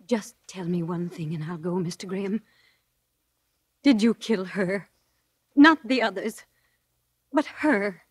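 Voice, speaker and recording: A woman's voice: medium pitch, measured pace, long pauses, breathy edge, dry recording. An actress playing an anxious, devoted woman who looks after a lodger, earnest and urgent, in 1940s radio drama style. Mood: hurt, tender.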